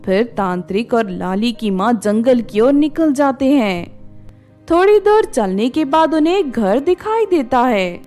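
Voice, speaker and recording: A woman's voice: a little high, expressive, unhurried, a little mellow, soft background music. A woman reading a scripted children's story as its narrator.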